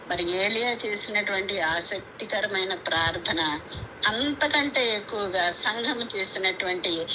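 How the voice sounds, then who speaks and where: A woman's voice: mid-range voice, bright, forceful tone. A woman preaches a devotional sermon in an earnest, instructive manner, stressing key phrases through repetition, over a conference call. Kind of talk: devotional sermon with scripture readings. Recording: clearly noisy conference call audio.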